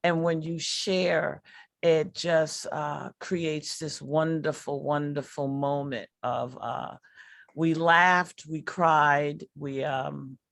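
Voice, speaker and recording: A woman reflecting as a workshop artist on a video call, speaking in emphatic, halting phrases. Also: deep voice, fairly even intonation, gravelly, a bit bright, dry recording, studio quality. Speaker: workshop artist leading the project. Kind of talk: reflective discussion.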